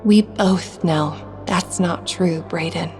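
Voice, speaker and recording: A woman's voice: on the low side, slow, soft background music. A woman playing a tender, teasing wife in a dream, in an intimate audio drama. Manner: soft, affectionate, playful.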